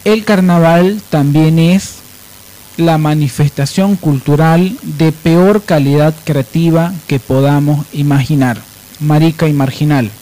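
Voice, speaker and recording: A man's voice: fairly high pitch, unhurried, mellow, poor audio. A man hosting radio, delivering commentary in a sardonic, emphatic way. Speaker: radio show host.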